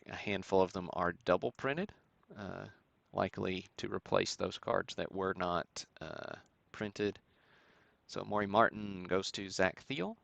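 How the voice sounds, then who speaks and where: A man hosting a live stream, giving matter-of-fact commentary in a halting way with pauses. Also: deep voice, vocal fry, a bit bright, dry recording. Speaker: host of a sports card break stream. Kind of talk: live show-and-tell commentary on vintage cards.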